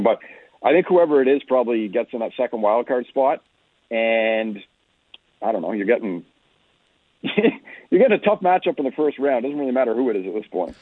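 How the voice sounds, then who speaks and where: Husky guy breaking down hockey stats off the cuff, sounds like a phone call-in, dry. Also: medium pitch, expressive, a little fast, no echo. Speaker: hockey analyst guest. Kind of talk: off-the-cuff sports analysis. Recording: muffled, phone-like radio call-in line.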